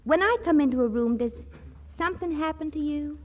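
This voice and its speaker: A woman's voice: high voice, brisk, lightly acted. An actress playing a sweet, guileless young woman with an American accent in a 1940s radio comedy. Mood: coy. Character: naive, sweet, earnest young woman in love. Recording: dull, noisy 1940s radio recording.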